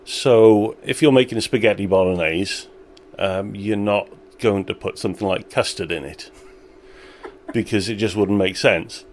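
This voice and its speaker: A man who owns a small brewery, chatting in an interview with a relaxed, self-deprecating manner and frequent laughter. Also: fairly low pitch, normal speed, slight background noise. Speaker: brewery owner. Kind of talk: casual interview chat.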